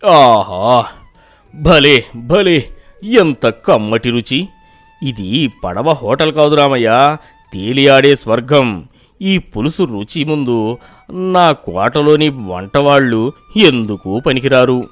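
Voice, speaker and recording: A man voicing a stern, proud middle-aged village landlord in an animated storybook, gruff and commanding. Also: mid-range voice, slow, long pauses, no echo, soft background music. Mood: delighted. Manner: gruff, threatening, then gushing.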